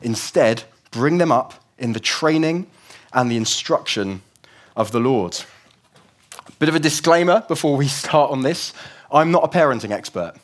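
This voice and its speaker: A young man preaching a conversational, explanatory sermon in a church service, his voice croaky from a cold. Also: medium pitch, lively intonation, normal speed. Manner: conversational, explanatory, with frequent restarts.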